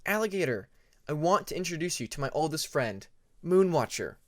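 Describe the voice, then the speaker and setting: A man voicing a warm, eager young male dragon in a fantasy audiobook, encouraging and friendly. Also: a little high, big pitch swings, normal speed, dry recording, studio quality, pitched above the performer's own voice.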